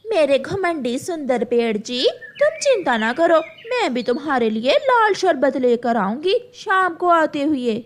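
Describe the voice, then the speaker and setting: A woman voicing a kind mother sparrow in a cartoon, patient but firm, with a gentle, reasonable manner. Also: high voice, sing-song, measured pace, hardly any pauses, slight background noise. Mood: caring.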